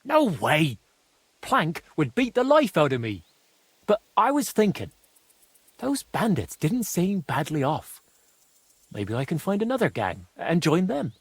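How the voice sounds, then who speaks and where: A naive young apprentice from a medieval video game. His voice is high and husky, with lively swings of pitch. He talks artlessly and falters when pressed. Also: measured pace, long pauses, no echo, exaggerated voice. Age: young adult. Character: naive, simple-hearted young craftsman's apprentice. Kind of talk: video game dialogue acting.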